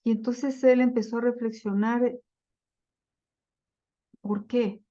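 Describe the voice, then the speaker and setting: Slow, deliberate woman lecturing. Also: a little high, fairly even intonation, warm tone, muffled recording. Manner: slow and deliberate, with many fillers and self-corrections. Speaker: lecturer on Bible translation. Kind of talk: slide-based lecture.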